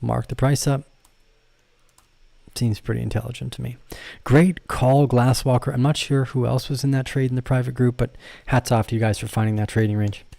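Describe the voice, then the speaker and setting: A man's voice: mid-range voice, steady tone, moderate pace, gravelly, warm tone. A man hosting a casual livestream, talking through trading charts and reading viewers' comments in a rambling, self-correcting way with frequent laughs. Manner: addresses viewers and reads their comments. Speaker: crypto trading channel host.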